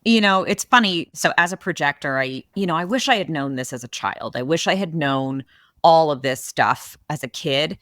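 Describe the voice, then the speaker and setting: Low, bright-voiced woman podcast host. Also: expressive, moderate pace, no echo, studio quality. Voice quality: big voice. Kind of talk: casual podcast conversation.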